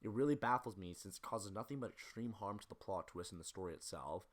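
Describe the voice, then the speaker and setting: A man's voice: on the low side, animated, fast, very noisy. A man narrating a scripted analytical video essay as the presenter.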